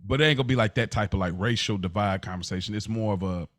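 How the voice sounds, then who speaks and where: Male American podcast host, lowish voice, dry recording, informal and sincere storyteller. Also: quick pace, fairly bright tone, no room sound. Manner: informal, slangy, earnest storytelling. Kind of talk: casual podcast conversation.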